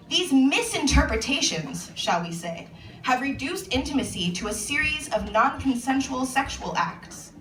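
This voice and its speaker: A woman with an American accent, an author reading her own monologue aloud at a live event in a measured, earnest way. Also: a little high, fairly bright tone. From United States. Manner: measured, earnest spoken-word delivery. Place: event venue indoors with an audience. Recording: echoey room, voice far from the mic, faint noise.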